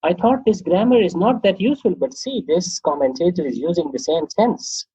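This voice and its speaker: Pakistani man, dark muffled voice. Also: sits high, moderate pace, slightly rough, no echo. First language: Pashto. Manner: animated, reflective, often laughs at himself. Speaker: guest, English teacher. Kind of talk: conversational interview.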